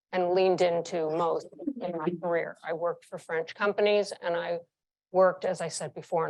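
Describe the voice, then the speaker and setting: A woman in her sixties from New York speaks with a slightly low voice. She tells frank, vivid career anecdotes as an interview guest and drives her points home with emphatic repetition. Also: poor audio. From New York, United States. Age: 60s. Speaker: former chief executive, interview guest. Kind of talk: candid interview storytelling.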